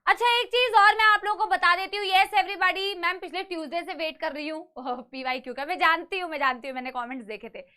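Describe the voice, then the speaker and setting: A young woman teaches a live online biology class with a slightly sore throat. Her voice sits very high and sounds bright and forceful. She coaxes and reassures her students, calling them "beta" and slipping English phrases into the lesson. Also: a little fast, hardly any pauses.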